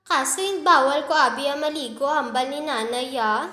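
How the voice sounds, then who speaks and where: Lady doing a sweet little girl voice, super high, bright, polite to her mom. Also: normal speed, reverberant, soft background music. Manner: cheerful, polite to elders. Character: kind hardworking young girl selling fish.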